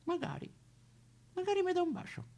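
An actor playing a boozy, cheeky, lonely homeless tramp of fallen nobility, in a rambling, foul-mouthed, singsong stage monologue with a Roman accent. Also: male voice, extremely high pitch, wildly swooping pitch, fairly quick, vocal fry, a little mellow, heavily acted. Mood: boastful, wistful. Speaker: stage actor in a solo show. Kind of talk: theatrical comic monologue addressed to listeners.

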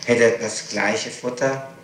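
A man's voice: medium pitch, fairly even intonation, takes it slowly, a bit bright. A man putting formal, insistent questions as a prosecutor in an interrogation, in a matter-of-fact way. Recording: echoey, noisy archival 1960s interrogation tape.

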